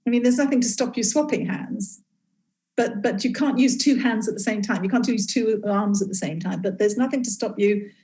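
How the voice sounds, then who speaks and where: A woman's voice: fairly high pitch, warm tone. Woman who grew up in East Africa. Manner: halting, many restarts, laughs often. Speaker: guest, game inventor. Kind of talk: casual interview talk.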